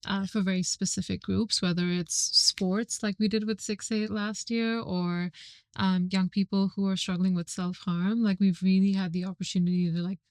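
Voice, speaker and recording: A woman's voice: medium pitch, level tone, normal speed, dry recording. A woman guest from Dubai in casual conversation about her agency work, thinking aloud with many restarts and fillers. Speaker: agency team member, guest.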